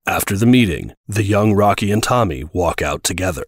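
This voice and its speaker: A man's voice: low-pitched, lively intonation, brisk, background noise. A man reading scripted narration for an audio drama.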